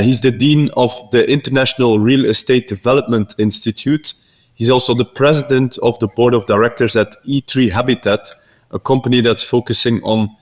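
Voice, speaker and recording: A man hosting a podcast, reading a scripted intro and then asking casual interview questions in an upbeat, friendly way. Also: medium pitch. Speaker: podcast host.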